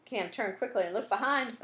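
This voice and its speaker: A woman reading a children's storybook aloud in an expressive way, acting out the story and adding asides like a teacher. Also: a little high, normal speed. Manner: expressive, acts out dialogue and sound effects. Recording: roomy echo, some background noise.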